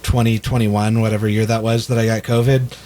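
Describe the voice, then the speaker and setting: Slightly raspy man in casual discussion. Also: lowish voice, level tone, a little fast, mellow. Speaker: podcast co-host. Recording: clear background noise.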